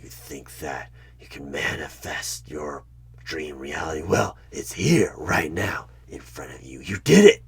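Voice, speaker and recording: A man playing a sinister devilish tempter in a horror ASMR roleplay, slow and coaxing with a breathy, husky half-whisper and menace. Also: rumbling low, lively intonation, heavily acted. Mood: persuasive, menacing. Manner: slow, menacing, coaxing, with sudden loud bursts.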